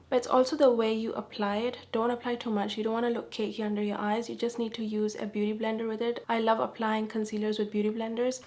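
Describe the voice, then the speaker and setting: A young woman from Dubai, calm and soft-spoken, answering viewer questions in a casual beauty vlog. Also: fairly high pitch. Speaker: beauty vlogger.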